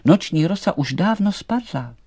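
Storybook poppy doll, male performer: little, female, kind and anxious, voice lifted high, tender delivery. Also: animated, darkish tone, dry recording. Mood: gentle. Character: small gentle female poppy doll, worried but kind.

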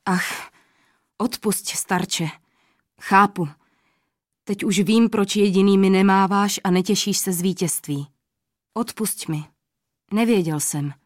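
Stern proud king read by a woman, commanding, loud, dry storybook reading. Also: mid-range voice, hardly any pauses, no room sound, natural voice. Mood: uneasy. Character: stern proud male king, quick to anger.

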